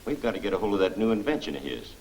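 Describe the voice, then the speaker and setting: A tough crook from a 1940s film serial. His voice is low and his lines are terse, those of a scheming underling. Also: big pitch swings, racing, a little mellow, slight background noise, rough recording. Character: scheming tough male henchman, obedient to his boss.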